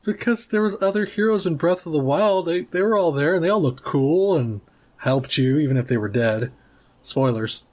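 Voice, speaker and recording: A man's voice: a little high, lively intonation, normal speed, darkish tone. A man co-hosting a gaming podcast, talking in a casual conversational way. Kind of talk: casual chat.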